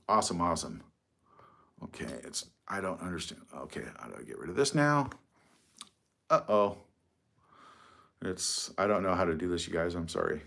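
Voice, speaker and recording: A man's voice: on the high side, a bit bright. A middle-aged man hosting a casual livestream from his phone, rambling, mocking and swearing freely. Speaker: livestream host.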